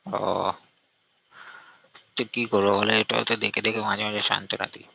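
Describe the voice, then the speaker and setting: Tinny, gravelly low-voiced man on a phone. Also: level tone, fairly quick, dry recording. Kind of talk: intimate casual phone chat. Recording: tinny phone-line audio.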